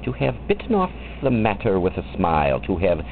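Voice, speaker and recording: A man reciting poetry in an expressive, theatrical and deliberate manner, drawing out his phrases. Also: deep voice, creaky. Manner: theatrical, deliberate, with wide swoops and drawn-out phrases. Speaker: poetry reader. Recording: muffled lo-fi recording with faint background noise.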